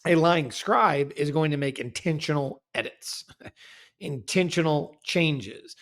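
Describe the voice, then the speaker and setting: A man's voice: on the high side, takes it slowly, a bit bright. A man as a guest researcher in a casual scholarly discussion, careful and self-correcting, explaining his findings at length.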